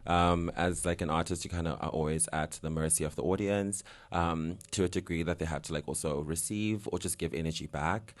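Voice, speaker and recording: A man's voice: low-pitched, fairly even intonation, fairly quick, hardly any pauses. A young man from Zimbabwe, a guest artist talking casually in an interview, rambling with lots of fillers and laughing often.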